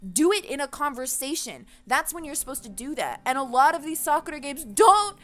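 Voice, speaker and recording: A woman's voice: high voice, very animated, brisk, a bit bright, faint music behind. A woman giving a casual, opinionated rant to viewers, passionate and emphatic, with loose swearing, as a gaming video creator.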